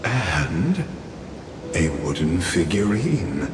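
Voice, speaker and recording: A man acting as an unhurried storyteller, narrating a fantasy tale in a game. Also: sits low, sing-song, a loud crowd, exaggerated voice. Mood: measured storytelling. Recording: echoing, loud music behind.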